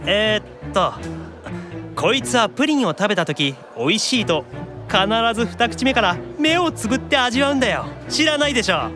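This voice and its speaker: A snarky, animated boyish demon. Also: male voice, high-pitched, normal speed, music in the background. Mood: snarky, competitive. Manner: needling, boyish, stammers when embarrassed. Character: geeky, shy yet snarky young male demon. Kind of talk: anime-style audio drama acting.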